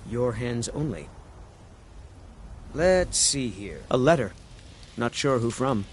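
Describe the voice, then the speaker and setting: Lively fantasy courier, animated pitch. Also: male voice, slow, slight background noise. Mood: businesslike. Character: busy, matter-of-fact courier in a fantasy world. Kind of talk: video game character dialogue.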